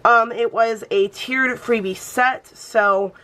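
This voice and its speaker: Young woman with a cold, chatty planner vlog, kinda high voice, thinks out loud. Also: fairly even intonation, slow pace. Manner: chatty, thinking aloud while crafting, self-reassuring. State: sick with a cold, voice a bit off. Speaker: planner channel host. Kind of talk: casual plan-with-me chat.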